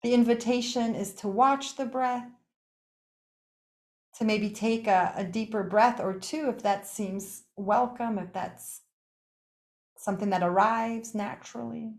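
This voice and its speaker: A woman teaching yoga nidra, leading a guided meditation in an unhurried, gently inviting way, with pauses between short phrases. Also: fairly high pitch, steady tone. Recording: echoey, roomy sound.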